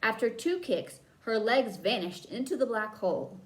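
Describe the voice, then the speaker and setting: A woman reading a children's book aloud as a storyteller, with dramatic emphasis and drawn-out key words. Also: fairly high pitch, animated, poor audio. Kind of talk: expressive read-aloud narration for children.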